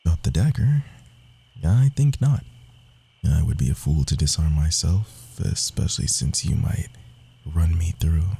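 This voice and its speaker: A man playing a sly, cocky rogue assassin in a fantasy audio roleplay, speaking softly and theatrically, often dropping into whispers. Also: animated, measured pace, heavily acted. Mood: smug, teasing. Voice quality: low, gravelly, husky.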